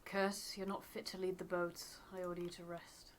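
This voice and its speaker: A woman voicing a calm, direct expedition captain in a game. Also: on the low side, moderate pace, lightly acted. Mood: calm, firm. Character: composed, decisive expedition captain, firm and caring.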